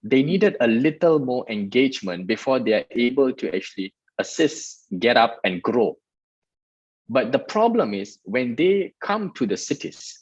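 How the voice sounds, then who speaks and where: Man from malaysia explaining stuff earnestly, lots of restarts, husky voice, dull dry sound. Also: medium pitch, no echo. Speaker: guest, startup festival director. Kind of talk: interview answers.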